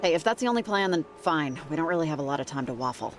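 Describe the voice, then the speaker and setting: A woman's voice: deep voice, expressive, very fast. An actress playing a level-headed woman field researcher in a video game, dry, plainspoken and understated. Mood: wary, practical. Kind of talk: naturalistic video game acting.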